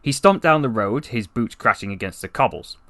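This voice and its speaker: A man reading a story as a voice actor, in a solemn, dramatic and deliberate narrating style. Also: mid-range voice, animated, bright, forceful tone, no echo.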